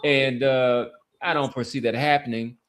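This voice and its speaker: A man hosting a podcast, talking casually about sports and society in an earnest, halting way with repeated words. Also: medium pitch, fairly even intonation, a bit bright, clear, resonant tone. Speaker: podcast host. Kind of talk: casual sports talk and commentary.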